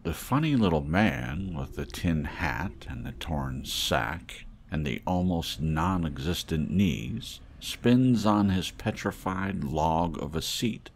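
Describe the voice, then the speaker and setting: Low, creaky, expressive male narrator. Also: takes it slowly, few pauses, slight background noise. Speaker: audiobook narrator. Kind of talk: scripted narration of fiction.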